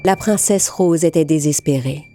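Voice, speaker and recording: A woman narrating a scripted children's fairy tale in a clearly articulated storytelling manner. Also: low voice, moderate pace, dark tone, faint music behind.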